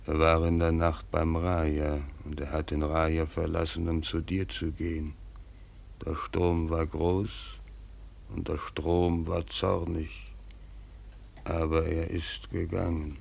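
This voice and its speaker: An actor playing a solemn, deferential Malay messenger in a German radio drama, gravelly, measured and ceremonious. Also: male voice, a bass voice, completely flat delivery, darkish tone, heavily acted. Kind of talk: mid-century German radio play acting.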